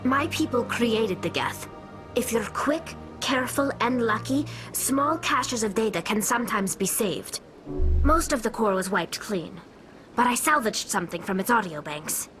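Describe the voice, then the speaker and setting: Earnest high-voiced young alien pilgrim. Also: female voice, lively intonation, unhurried. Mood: grave, informative. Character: young female alien pilgrim from a spacefaring people, earnest and determined. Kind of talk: video game voice acting. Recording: music under the voice.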